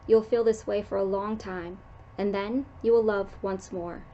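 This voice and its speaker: A woman reading aloud who voices an older female palm reader, grave and ominous, in slow, solemn fortune-telling tones. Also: medium pitch, steady tone, highs cut off, a little hiss or hum, natural voice.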